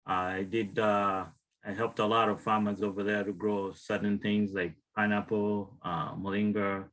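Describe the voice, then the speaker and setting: A man in his thirties with a Ugandan accent speaks informally about himself as a guest panelist, often halting and restarting his sentences. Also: on the low side, flat delivery, a bit bright, rough recording. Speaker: wellness tonic maker, guest panelist. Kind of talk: informal personal storytelling on a panel.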